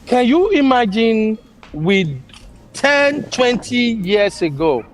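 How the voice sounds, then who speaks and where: Brief: Nigerian man, notably high voice, emphatic list-maker in casual talk. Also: big pitch swings, takes it slowly. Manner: emphatic, lists points, laughs easily. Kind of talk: casual podcast chat.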